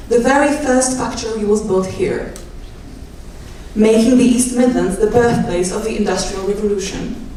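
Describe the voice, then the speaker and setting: A young woman with a Polish accent speaks in a measured, wry way, her voice caught from a distance in a very echoey hall. Her pitch sits in a mid range. A faint hiss lies underneath. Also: dark tone. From Poland. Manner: measured, wry, self-deprecating asides. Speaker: guest speaker, doctoral student. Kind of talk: prepared rally speech. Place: large indoor hall at a rally. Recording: echoey hall, mic from afar.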